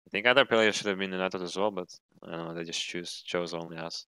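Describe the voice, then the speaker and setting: Young adult male gamer from Latvia; low pitch, crisp delivery, rambling casual answers. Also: fairly even intonation, quick pace, sharp tone, no echo, rough recording. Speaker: professional esports player. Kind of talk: casual interview chat.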